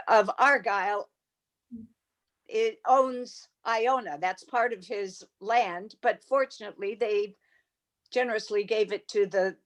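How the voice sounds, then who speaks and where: A woman in a group video call, chiming in with friendly, encouraging remarks. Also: mid-range voice. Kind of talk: casual chat.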